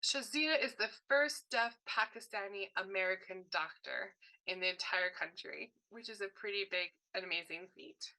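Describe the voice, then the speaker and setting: A woman with a bright, forward voice interprets a signed workshop presentation aloud. She speaks in short bursts and rephrases as she goes, correcting words partway through. Her pitch sits in an ordinary middle range. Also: normal speed, low-quality recording. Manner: short broken phrases with frequent self-corrections. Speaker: sign language voice interpreter.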